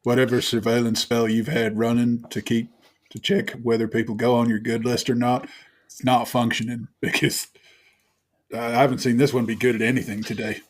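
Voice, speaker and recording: A man with a New Zealand accent playing a sardonic water genasi monk in a tabletop game, wry, wordy and halting. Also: mid-range voice, little pitch movement, natural voice.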